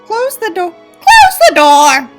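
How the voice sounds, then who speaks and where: A woman voicing a weary, bossy middle-aged mother with drawn-out, overwrought delivery in a story reading. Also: very high voice, huge pitch swings, over loud music, voice pushed up, exaggerated voice. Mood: frantic. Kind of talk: mocking dramatic reading of a story.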